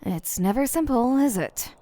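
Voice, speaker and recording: A woman's voice: high-pitched, animated, unhurried, mellow, no room sound. Wry, muttering woman, played by an actress, dry sound. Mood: weary, sarcastic. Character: wary, exasperated young woman explorer, grumbling to herself. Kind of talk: dramatized fan fiction podcast.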